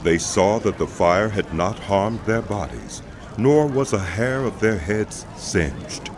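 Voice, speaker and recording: Deep male bible narrator, solemn n dramatic, very lively intonation, noisy ambience behind him. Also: slow. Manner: solemn, emphatic storytelling.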